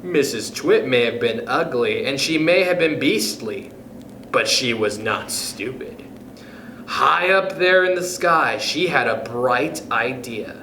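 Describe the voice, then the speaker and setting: A man hosting storytime for children, reading aloud expressively with dramatic, deliberate phrasing and pauses. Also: medium pitch, animated, sharp tone. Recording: echoey room with background noise.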